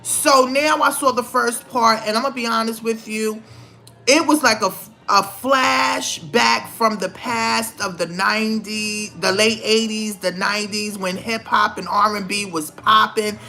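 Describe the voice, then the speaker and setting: Bright high-voiced middle-aged woman, emphatic. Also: fairly even intonation, a little slow, vocal fry. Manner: conversational, emphatic, repeats phrases for stress. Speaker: vlogger. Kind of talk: casual opinionated commentary.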